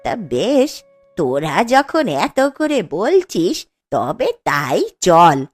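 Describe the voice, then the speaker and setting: A woman's voice: lowish voice, sing-song, takes it slowly, dry recording, soft background music, full character voice. A woman voicing an aggrieved, self-pitying old village grandmother in a cartoon story, complaining and reproachful. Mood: resigned.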